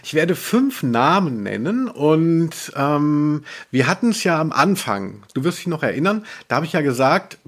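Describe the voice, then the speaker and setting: A man hosting a podcast quiz in casual chat, teasing and playfully theatrical as he poses questions. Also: on the high side. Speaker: podcast host and quizmaster.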